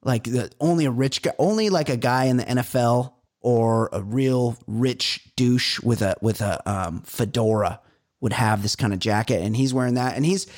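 A man from California chats on a comedy podcast in a middle-pitched voice. He rambles through his stories, stacking up fillers and restarts as he goes. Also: normal speed. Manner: rambling, animated storytelling with many fillers. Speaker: podcast co-host. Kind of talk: casual chat.

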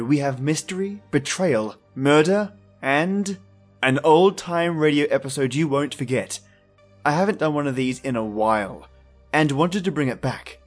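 A man hosting a spooky podcast, reading a scripted introduction in a measured, theatrical storyteller manner. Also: a little high. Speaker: podcast host. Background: faint music.

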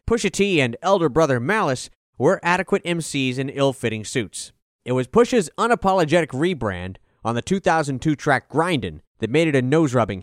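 A man's voice: mid-range voice, no echo, studio quality. A man reading a scripted review as narration, in an articulate, formal delivery. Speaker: album review reader.

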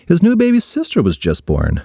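A man doing a sweet, gentle schoolteacher lady. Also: high voice, wildly swooping pitch, fairly quick, creaky, husky, no room sound, clean studio sound, pitched above the performer's own voice, exaggerated voice. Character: kind patient female schoolteacher.

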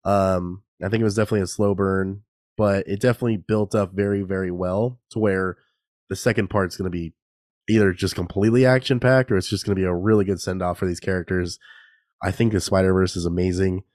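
A young man with a low, congested, slightly nasal voice chats alone on his podcast. He rambles and laughs at himself. The recording is close and dry, with no room sound. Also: fairly even intonation, brisk, a little mellow, no room sound. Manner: rambling, self-deprecating, laughs often. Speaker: podcast host. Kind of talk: casual solo podcast chat. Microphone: new podcast microphone.

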